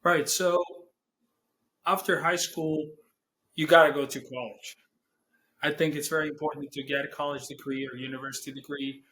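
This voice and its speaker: A young man from Serbia with a Serbian accent, answering interview questions in English as a non-native speaker, pausing to search for words. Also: fairly high pitch, a bit bright, slight background noise, rough recording. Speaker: guest, business owner.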